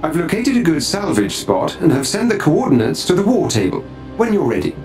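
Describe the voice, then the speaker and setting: A courteous artificial butler from a superhero game. The voice is somewhat high with a lilting range, formal and deferential, over clearly audible music. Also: male voice, wildly swooping pitch, brisk, darkish tone. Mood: dutiful. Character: polite, deferential male AI butler assistant, calm and precise. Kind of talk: video game cutscene acting.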